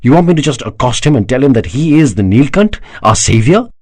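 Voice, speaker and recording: A man voicing a regal emperor who speaks in solemn, courtly tones that swell into fervent conviction. The voice is husky and sits a little higher than the reader's own, with a lively, swinging pitch and a dry, close sound. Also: moderate pace. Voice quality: solemn, passionate, rising to fervor. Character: proud emperor, older man, fervent believer.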